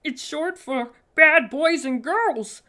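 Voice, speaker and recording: Woman voicing fussy, high-pitched elf Mister Pudding. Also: slow pace, bright, forceful tone, exaggerated voice. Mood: shivering dread. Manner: fretful, reciting rules earnestly.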